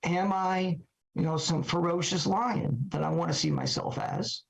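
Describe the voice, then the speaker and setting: Gravelly, hoarse man. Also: on the high side. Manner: halting, many fillers and self-questions. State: hoarse, recovering voice. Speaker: trial lawyer podcast guest. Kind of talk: reflective casual talk. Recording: dull lo-fi podcast audio.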